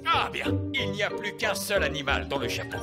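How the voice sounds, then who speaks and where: Bumbling cartoon dad acted out, jolly showman, wildly animated pitch, easily flustered, music behind. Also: a little fast, close to an everyday voice. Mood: baffled, flustered. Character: jolly, bumbling father, amateur magician in cartoon. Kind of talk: French cartoon dubbing.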